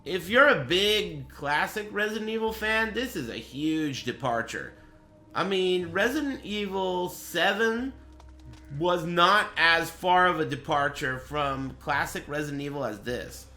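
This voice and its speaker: A man's voice: a little high, animated, unhurried, pushed and bright, soft background music. A man talking casually as a game streamer, giving his impressions in a blunt, dryly sarcastic and profane way.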